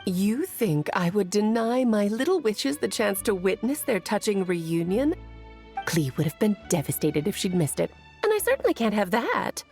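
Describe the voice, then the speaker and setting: An actress playing a playful, doting, mischievous witch mother in a video game, with a theatrical, teasing and gently wise delivery. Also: medium pitch, sing-song, moderate pace. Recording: music behind the voice.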